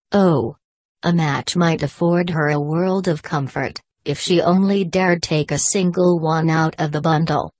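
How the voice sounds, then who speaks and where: A woman reading a scripted children's fairy tale as a storyteller, measured and expressive. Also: sits low, no room sound.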